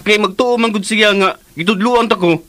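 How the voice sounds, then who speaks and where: A man acting in a radio drama as a chatty, conspiratorial gossip with an animated manner. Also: high-pitched, normal speed, no echo.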